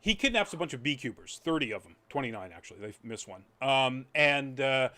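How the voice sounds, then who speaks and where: A man's voice: medium pitch, expressive, a little fast, pushed and bright. Male podcast host, mid-range voice, conversational reviewer who rambles and drifts into tangents. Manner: rambling, trailing off into asides. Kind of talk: casual chat review.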